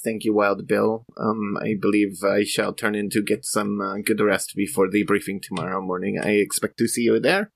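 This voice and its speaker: A man's voice: lowish voice, little pitch movement, moderate pace, vocal fry, few pauses, dry recording. A performer playing a polite, reserved female doctor in a sci-fi tabletop roleplay, with formal, hesitant wording. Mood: polite, earnest. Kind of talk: improvised in-character tabletop roleplay.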